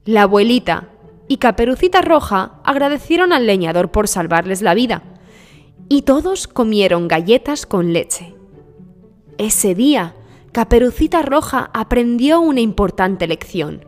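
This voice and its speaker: A woman's voice: on the high side, sing-song, measured pace. A woman narrating a scripted children's story as the storyteller. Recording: long reverb with music behind. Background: music.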